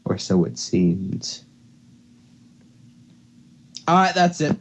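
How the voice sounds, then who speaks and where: A man's voice: lowish voice, very animated, drawn-out words. A man hosting an amateur fan fiction reading, who stumbles over words and repeats phrases as he goes. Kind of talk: amateur dramatic story reading.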